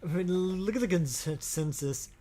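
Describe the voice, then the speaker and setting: A man co-hosting a casual podcast about movies, talking in halting, hesitant phrases with casual swearing. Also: sits high, mellow. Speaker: podcast co-host.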